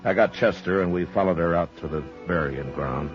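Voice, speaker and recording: An American actor playing a stoic, world-weary frontier marshal in a 1950s radio western, speaking in a measured, laconic way. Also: male voice, sits low, natural voice. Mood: somber narration. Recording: dull old radio recording, faint music.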